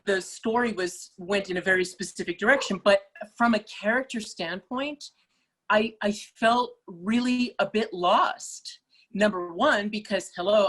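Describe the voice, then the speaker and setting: A middle-aged woman opera singer, speaking as a guest in a relaxed interview, thoughtful and full of small restarts and fillers. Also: medium pitch, unhurried. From Malaysia. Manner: thoughtful, many restarts and fillers, laughs easily. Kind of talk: casual interview chat.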